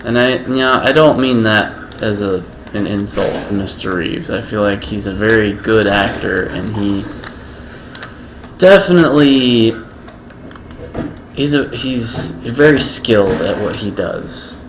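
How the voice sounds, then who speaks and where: A man giving casual gameplay commentary, slow and halting, with a self-described monotone voice. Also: fairly low pitch, noisy background. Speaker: gaming video creator.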